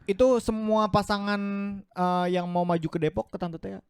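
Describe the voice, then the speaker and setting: A fairly high-voiced man telling a long story in a relaxed podcast chat. He acts out the quoted lines as he goes. The sound is dry and close, with no room echo. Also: moderate pace, clear, resonant tone. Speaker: podcast co-host. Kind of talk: casual chat.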